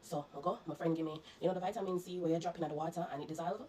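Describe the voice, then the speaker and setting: A woman's voice: lowish voice, steady tone, rapid-fire, mellow, slight background noise. A Jamaican woman vlogger, congested and coughing, earnestly recounting her illness to her viewers and warning them. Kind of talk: personal storytelling to viewers.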